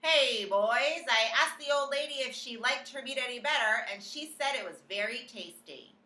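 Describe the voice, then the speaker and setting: A woman's voice: a little high, expressive, moderate pace, sharp tone, reverberant, voice pushed up. A woman voicing a grown man waiter calling out breezily to the cooks. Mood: breezy.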